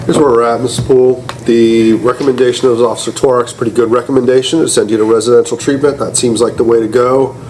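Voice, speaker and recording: A male judge addresses a courtroom from the bench in an average-pitched voice. He is blunt and plainspoken. Noticeable noise sits behind him. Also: steady tone, fast, few pauses, a little mellow. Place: indoor courtroom. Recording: noisy courtroom recording.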